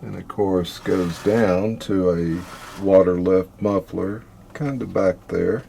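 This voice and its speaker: A man with a very deep, mellow voice talks slowly through a boat engine repair. His delivery is matter-of-fact and sometimes drops to a mutter. A faint noise sits behind him. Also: vocal fry. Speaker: boat owner doing repairs. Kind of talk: casual how-to narration.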